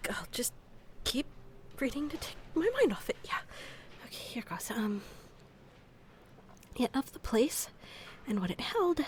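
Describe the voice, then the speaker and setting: Woman as boisterous researcher, slow halting delivery, high pitch, flustered, sneezy hitches, apologetic, audio drama. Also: a little hiss or hum. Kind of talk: amateur audio drama acting.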